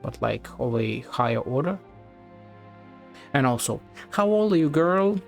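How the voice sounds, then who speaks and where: A man's voice: mid-range voice, big pitch swings, a little slow, creaky. A male game streamer with a mid-range voice talks casually as he plays. He thinks aloud in a sardonic, sweary way, with faint music behind him. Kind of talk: casual play-by-play game commentary.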